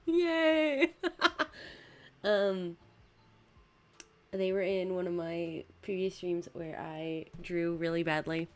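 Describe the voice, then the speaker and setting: A woman's voice: lowish voice, takes it slowly, pauses often. Young female streamer, laid-back host, giggly, voice a bit worn, takes her time. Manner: giggly, laughs often between words. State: losing her voice. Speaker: podcast host and VTuber. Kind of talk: casual streamed chat.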